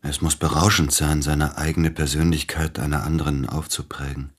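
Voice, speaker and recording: An actor in a German radio drama playing a formal gentleman visitor who questions in a measured, pointed way with a husky voice. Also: rumbling low, flat delivery. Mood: challenging. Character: formal, probing gentleman visitor, a celebrated pianist.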